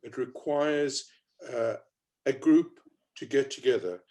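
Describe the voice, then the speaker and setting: Man, South African accent, middle-aged, mellow tone, reflective, hesitant, panel talk. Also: mid-range voice, takes it slowly. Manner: reflective, hesitant with many fillers. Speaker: panel speaker. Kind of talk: panel discussion.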